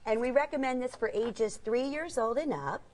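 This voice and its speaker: A woman's voice: fairly high pitch, expressive, normal speed, a little mellow. Chatty woman co-hosting a shopping show. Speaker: shopping channel co-host. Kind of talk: live TV product presentation, chatty.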